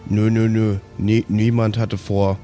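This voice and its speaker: A man playing a sheepish young adventurer in an improvised fantasy audio play, halting and repeating himself. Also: fairly low pitch, little pitch movement, dark tone, soft background music, close to an everyday voice. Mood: sheepish, evasive.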